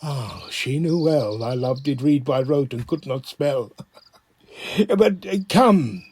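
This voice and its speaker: A man playing a wise, gently chiding friar in a Shakespeare audio drama, with a husky, gravelly voice and a measured, admonishing manner. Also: medium pitch, expressive, dry recording. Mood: indulgent chiding.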